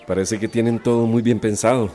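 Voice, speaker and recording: An actor playing a good-humoured newcomer in a radio soap opera, wry and easygoing. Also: male voice, on the low side, fairly even intonation, fairly quick, mellow, long reverb tail, natural voice.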